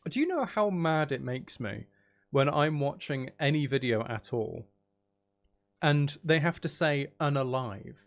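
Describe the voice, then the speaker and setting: A man's voice: a little high, expressive, unhurried. A man hosting a livestream, chatting casually in a sarcastic, exasperated ranting manner. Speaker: livestream host.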